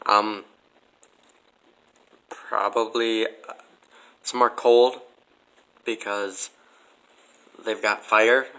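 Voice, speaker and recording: A man's voice: lowish voice, level tone, drawn-out words, long pauses, pushed and bright, smooth, poor audio. A man as a podcast guest in casual debate, speaking in a skeptical, blunt, sardonic manner. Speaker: podcast guest answering questions.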